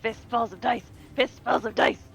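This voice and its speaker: A woman's voice: on the high side, fairly even intonation, moderate pace, creaky, music in the background. A woman at a tabletop roleplaying game, chatting casually and playfully.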